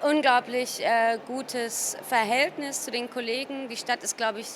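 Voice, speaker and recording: A woman from Cologne speaking informally as a gallerist in an interview, often pausing mid-sentence to search for her words. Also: fairly high pitch, steady tone, fairly bright tone. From Cologne, Germany. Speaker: gallerist presenting artworks. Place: busy exhibition hall at an art fair. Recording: noisy art fair ambience. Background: ambient fair noise.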